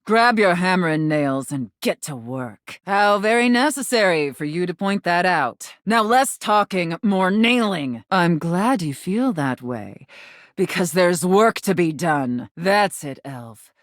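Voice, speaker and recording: Stern, low-voiced, domineering Santa boss. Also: female voice, animated, no room sound, studio quality. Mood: urgent, bossy. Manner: imperious, scolding, haughty, deliberately enunciated. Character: stern, domineering woman playing a demanding Santa Claus boss. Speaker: video game voice actor. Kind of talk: theatrical video game voice acting.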